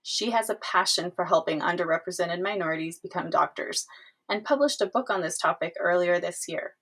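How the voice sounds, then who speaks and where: A woman hosting a podcast, reading a scripted introduction and asking interview questions in a clear, measured way. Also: on the low side, a bit bright. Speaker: podcast host.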